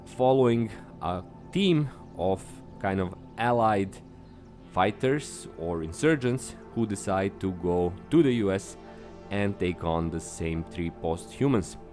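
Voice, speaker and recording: A man with a Serbian accent, a native Serbian speaker, hosting his own podcast in an upbeat, earnest monologue that jumps up in pitch on stressed words. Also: lively intonation, takes it slowly, a little mellow, soft background music. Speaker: podcast host.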